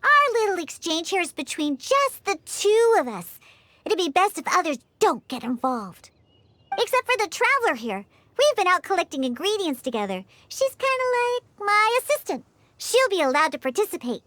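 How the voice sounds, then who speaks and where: A woman's voice: extremely high pitch, big pitch swings, normal speed, fairly bright tone, no room sound, full character voice. A woman voicing a proud, prickly little cat-girl bartender in a video game, with a haughty, cutesy, huffy manner. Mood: guarded. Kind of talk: scripted video game character acting. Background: background music.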